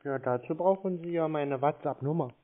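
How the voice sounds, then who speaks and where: A man on a phone call, giving terse, evasive answers and taunting the caller in a deadpan way. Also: fairly high pitch, fairly quick. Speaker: scam baiter answering calls. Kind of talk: casual phone conversation. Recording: dull lo-fi phone call recording.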